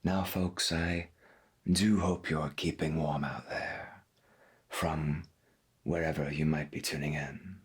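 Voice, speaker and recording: A man playing a folksy, gentle veteran pirate radio host, speaking softly and slowly with a breathy voice in an ASMR roleplay. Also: a bass voice, fairly even intonation, full character voice. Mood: calm, intimate. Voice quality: breathy, husky. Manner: slow, deliberate, folksy, half-whispered. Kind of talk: soft-spoken ASMR roleplay as 1990s AM radio.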